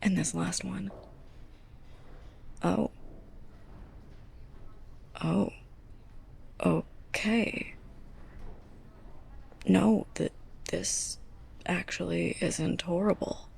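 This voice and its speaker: A young woman playing a sarcastic, scolding, snobbish librarian's assistant in a close-up ASMR roleplay, breathy and often near a whisper. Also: lowish voice, fairly even intonation, extremely slow, long pauses, darkish tone, full character voice. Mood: grudging. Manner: sarcastic, exasperated, pointed delivery.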